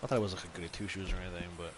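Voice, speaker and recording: Chatty young male streamer, low voice. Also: very fast, background noise. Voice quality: loud voice that carries. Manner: chatty, relaxed, answers chat. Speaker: game streamer. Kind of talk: casual livestream chat. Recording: game sounds clearly behind.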